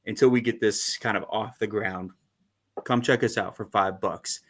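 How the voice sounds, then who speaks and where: A man co-hosting a fan podcast in a casual, animated chat, laughing often and using lots of fillers. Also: lowish voice, normal speed, slightly rough. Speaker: podcast co-host.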